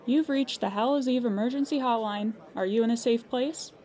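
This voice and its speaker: Woman playing a calm, patient hotline operator. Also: on the high side, normal speed, close to an everyday voice. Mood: calm, polite. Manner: calm customer-service politeness with dry exasperation. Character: weary, patient female emergency hotline operator. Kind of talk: audio comedy drama acting.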